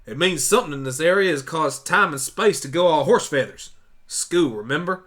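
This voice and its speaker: A man's voice: fairly high pitch, lively intonation, normal speed, a bit bright, pitched above the performer's own voice. A man voicing a stubborn, protective country mare pony with a folksy Southern US drawl, in an amateur audio drama. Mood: blunt, impatient. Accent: Southern US country drawl, put on. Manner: folksy, blunt, pleading.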